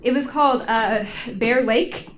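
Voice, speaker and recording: A woman's voice: a little high, unhurried, background noise. An American woman telling a story casually on a podcast, informal and giggly, peppering her talk with "like". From United States.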